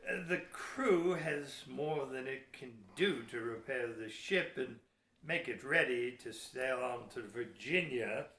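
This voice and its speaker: An actor playing a cunning, gruff seventeenth-century English ship's captain in an audio play, declaiming emphatically in archaic speech. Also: male voice, medium pitch, lively intonation, takes it slowly, pushed and bright. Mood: grave, insistent. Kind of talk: old-fashioned radio play acting. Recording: roomy, echoey audio drama recording.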